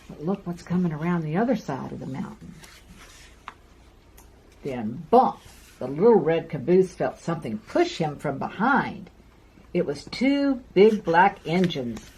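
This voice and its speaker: A woman in her sixties reading a picture book to young children with deliberate, sing-song emphasis. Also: fairly low pitch, a little mellow, breathy edge. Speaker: children's storyteller, host. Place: carpeted room at home.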